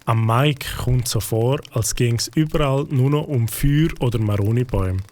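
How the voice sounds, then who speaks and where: A man's voice: medium pitch, moderate pace, a little mellow, slight background noise. A man narrating a cartoon, in a sympathetic storytelling manner.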